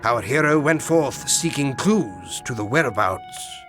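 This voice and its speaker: An actor playing a solemn storyteller, reading scripted narration for a fantasy video game epic. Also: male voice, medium pitch, expressive, measured pace, music in the background, close to an everyday voice.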